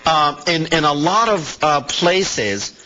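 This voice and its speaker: A man's voice: fairly high pitch, sing-song, slow, bright, forceful tone. A man hosting a live call-in discussion, explaining points and challenging with questions. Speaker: host of a call-in talk show. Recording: slightly muffled broadcast audio.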